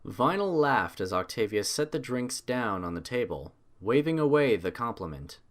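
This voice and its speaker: Male story narrator, mid-range voice, animated delivery of scripted prose. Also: mid-range voice, moderate pace.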